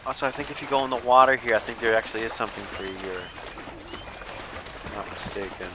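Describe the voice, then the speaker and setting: A man's voice: mid-range voice, fairly even intonation, brisk, background noise. A young man casually commenting on a game he is playing, rambling and swearing, laughing often. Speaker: gameplay commentator. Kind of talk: casual let's play commentary.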